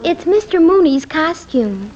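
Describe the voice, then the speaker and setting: An American actress playing an earnest, prim, easily exasperated young daughter in an old radio comedy. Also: female voice, very high-pitched, sing-song, unhurried, a little mellow, heavily acted. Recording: muffled old-time radio recording, faint noise.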